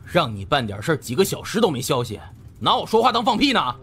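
A man's voice: a little high, huge pitch swings, quick pace, a bit bright, breathy edge, full character voice. A man playing an arrogant, foul-mouthed young boss who barks scornfully at underlings in an audiobook drama. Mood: furious, contemptuous. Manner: scornful, barking.

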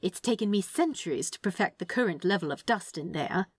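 A woman's voice: lowish voice, animated, brisk, slightly rough, no echo. A woman voicing a prim, doting male angel with a fussy, polite manner in a storybook reading. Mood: prim, scolding. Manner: fussy, polite, cooing, tutting. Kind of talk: storybook character voice in fan fiction reading.

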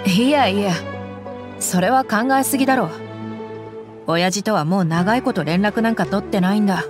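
Actress doing tough young merc bodyguard lady, anime game, frank n loyal, medium pitch, bit of music. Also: normal speed, close to an everyday voice. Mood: dismissive, thoughtful. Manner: rough, frank speech turning polite. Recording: background music behind voice.

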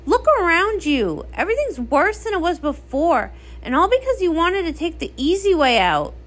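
A woman performs a young female sea pony in a comic story, defiant and passionate. The voice is high and leaps in pitch, urgent and emotional. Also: very animated, moderate pace.

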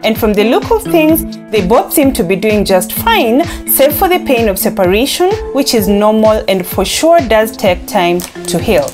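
A woman's voice: on the high side, sing-song, moderate pace, few pauses. A woman with a Kenyan accent presenting entertainment news as a scripted voice-over, upbeat, reading posts aloud. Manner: upbeat, reads quotes aloud. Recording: voice-over with loud background music.

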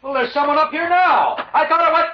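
An actor playing an anxious, foreboding friend in a 1940s radio drama. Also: male voice, extremely high pitch, a little fast, slight background noise. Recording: echoey, muffled old radio recording.

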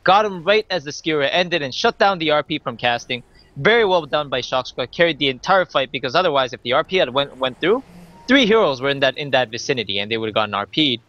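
A man's voice: fairly high pitch, animated, fast talker, sharp tone, muffled recording. A young man from Canada co-casting an esports match, chatty and analytical, laughing often as he breaks down plays. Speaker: esports co-caster. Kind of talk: esports commentary.

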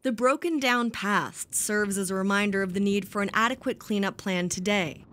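A woman's voice: medium pitch, moderate pace, fairly bright tone, a little hiss or hum. Woman reporter narrating a news feature.

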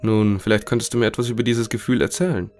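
A man's voice: on the low side, steady tone, quick pace, a little mellow, faint music behind, close to an everyday voice. A man playing a polite research scientist who interviews test subjects in a scripted audio drama. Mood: calm, inquiring.

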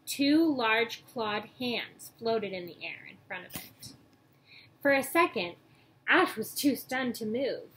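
A young woman reading a children's book aloud in a storytelling style, acting out dialogue and pausing to point out pictures. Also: on the high side, animated, a bit bright. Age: young adult. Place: small room at home, indoors. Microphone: webcam or laptop mic at arm's length. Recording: noisy home webcam recording.

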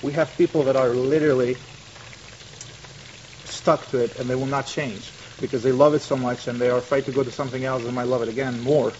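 A man in his thirties talks up his restaurant in a TV studio, earnest and promotional, his grammar a little loose. The sound is dull and muffled, with a clear hiss running under it. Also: medium pitch, fairly quick. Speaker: restaurant owner. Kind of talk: TV interview about his restaurant. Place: TV studio set. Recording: muffled broadcast audio with steady hiss.

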